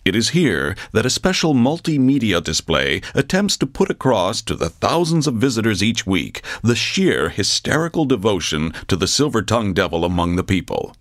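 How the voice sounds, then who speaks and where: A grandiose male documentary narrator. His low, widely modulated voice is pompous yet deadpan, recorded very dry, in mock-documentary style. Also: moderate pace, hardly any pauses, no echo.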